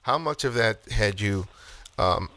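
A man's voice: fairly low pitch, lively intonation, measured pace, sharp tone. A man hosting a podcast who asks long, rambling questions that have several parts. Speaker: podcast host. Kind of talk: interviewing a guest.

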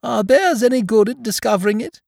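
A man voicing a friendly, slow-witted little bear in a children's story, simple, eager and innocent. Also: extremely high pitch, lively intonation, vocal fry, darkish tone, no room sound, studio quality, voice pushed up, heavily acted. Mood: innocent, curious.

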